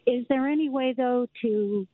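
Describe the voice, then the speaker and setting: A woman's voice: a little high, moderate pace, no echo. A woman from Chicago with a Chicago accent, calling in to a radio show, polite and hesitant, with fillers. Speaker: caller. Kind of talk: phone call to a radio show. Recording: tinny dry phone line.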